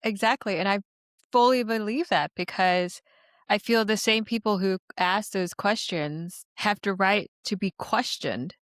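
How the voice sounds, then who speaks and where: A woman's voice: mid-range voice, measured pace, dry recording, clean studio sound. A woman hosting an interview podcast, talking in a reflective, earnest and hopeful way. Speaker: podcast host. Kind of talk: conversational interview.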